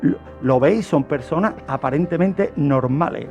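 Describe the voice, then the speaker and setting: A Spanish man with a distinctly high-pitched voice presents a crime case on the radio. He explains things like a teacher, part scripted and part off the cuff, with questions for the listeners. Music plays faintly underneath. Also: a little slow, creaky, a little mellow, lo-fi sound. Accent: Spanish, from Spain. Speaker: true-crime segment presenter on a radio show.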